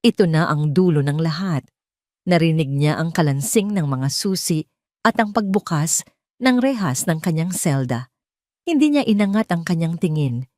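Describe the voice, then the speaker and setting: A woman's voice: low-pitched, animated, normal speed, darkish tone, no room sound, studio quality. A woman with a Filipino accent reading a scripted dramatic love story as its narrator.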